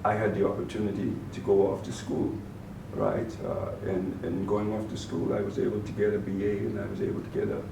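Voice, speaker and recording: A man with a Bahamian accent, a guest in an interview, answering in a measured way and often repeating words as he gathers his thoughts. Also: on the low side, little pitch movement, gravelly. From Turks and Caicos Islands, raised in the Bahamas. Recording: roomy, distant mic with faint noise.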